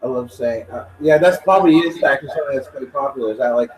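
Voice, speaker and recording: Brief: young man, easy mid-pitch voice, jokey rambler, miked from a distance with faint background noise. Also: fast. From Ontario, Canada. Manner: rambling, jokey, leans heavily on "like". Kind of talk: casual podcast chat.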